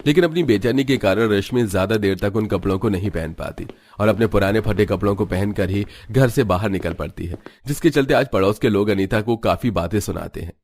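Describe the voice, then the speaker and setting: A man narrating a scripted animated story. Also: lowish voice, a little fast, hardly any pauses, slight background noise.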